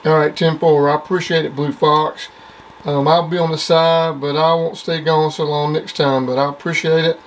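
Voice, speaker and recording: A man's voice: fairly high pitch, little pitch movement, normal speed, rough recording. A middle-aged or older man, chatting casually and plainly in CB radio jargon. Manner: plainspoken, uses repeated CB jargon. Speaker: CB radio operator. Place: at a home CB base station. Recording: faint background noise.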